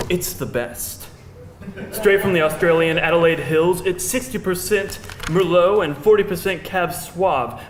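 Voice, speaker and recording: An actor playing a chatty, boastful, pushy bartender in a live screenplay table read. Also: male voice, high voice. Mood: pushy, enthusiastic. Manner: pushy, showy patter. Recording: roomy live venue, faint noise.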